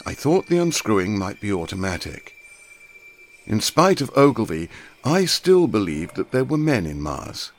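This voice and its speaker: A man narrating a classic novel aloud in a measured, precise reading. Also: on the low side, very animated, slight background noise. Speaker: audiobook narrator.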